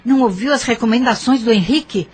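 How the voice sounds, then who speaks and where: Actress doing a strict scolding lady in an audio drama, highish raspy voice, firm. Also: fast, a little mellow. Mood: stern. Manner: admonishing, firm. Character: stern woman scolding and calming a man.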